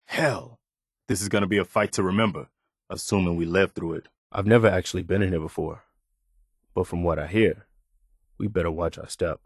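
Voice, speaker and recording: An actor playing a principled, loyal and weary young militia soldier in a video game, speaking sincerely and plainly. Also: male voice, lowish voice, no room sound, studio quality, close to an everyday voice. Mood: wary, resolute. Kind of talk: video game companion dialogue.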